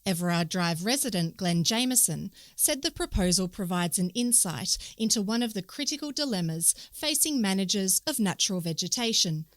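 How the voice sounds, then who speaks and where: An Australian woman from a local newspaper's editorial team, reading a news story aloud in a clear, even way. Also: medium pitch, normal speed, few pauses, dry recording. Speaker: newspaper reader.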